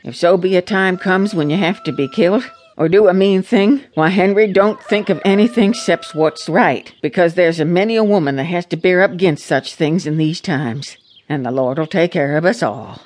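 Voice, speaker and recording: A woman's voice: fairly low pitch, normal speed, darkish tone, a little hiss or hum, natural voice. An actress playing a devout, anxious middle-aged farm mother in a radio drama, with a rural American accent and an earnest, admonishing manner. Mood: anxious, tender. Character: plain-spoken, devout, anxious farm mother of a young soldier.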